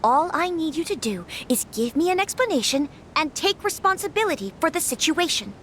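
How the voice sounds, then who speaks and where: An actress playing a composed young noblewoman who speaks calmly, firmly and articulately, in a studio game. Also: high voice, a little fast, a little hiss or hum. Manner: calm, firm, articulate. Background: music.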